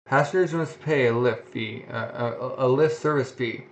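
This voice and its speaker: A man's voice: medium pitch, moderate pace, a little hiss or hum. A man casually reading a manga aloud, often stumbling and restarting words. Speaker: reader. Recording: dull lo-fi home recording.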